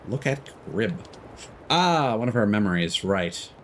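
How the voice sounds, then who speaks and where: A man's voice: fairly low pitch, very animated, measured pace, a bit bright, slight background noise. A man streaming a video game with casual, spoken-as-he-plays commentary. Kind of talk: casual live gameplay commentary. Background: game music.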